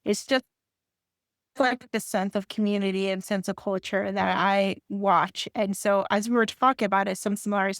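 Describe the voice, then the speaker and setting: A woman's voice: mid-range voice, no room sound, clean studio sound. A middle-aged woman from Puerto Rico hosting a book podcast, chatting with enthusiasm and rambling a little. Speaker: podcast host. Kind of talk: casual interview chat.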